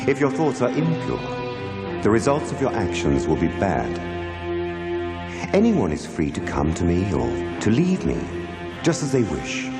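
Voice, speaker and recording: A man playing a calm, wise spiritual teacher in an animated religious drama, speaking in a solemn, measured, authoritative way. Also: low voice, full character voice. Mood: stern, calm. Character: calm, wise enlightened male spiritual teacher, firm and compassionate. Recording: echoey hall reverb, music behind.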